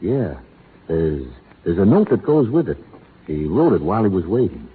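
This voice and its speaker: An actor playing Shorty, a loyal, gruff cowhand who talks in a plain drawl. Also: male voice, deep voice, big pitch swings, moderate pace. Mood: gentle. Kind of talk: 1930s radio acting. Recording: tinny 1930s radio broadcast, faint hiss.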